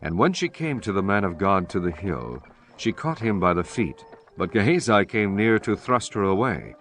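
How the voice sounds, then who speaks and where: A man's voice: lowish voice, big pitch swings, moderate pace, fairly bright tone. A man reading solemn, scripted scripture narration for a dramatized audiobook.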